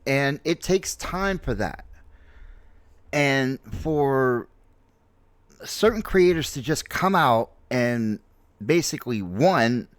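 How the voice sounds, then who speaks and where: Slow-paced American man in his late forties. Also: mid-range voice, animated, no echo. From New York City, United States. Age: late 40s. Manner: halting, reflective, repeats himself for emphasis. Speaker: streamer, content creator. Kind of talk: unscripted personal opinion monologue.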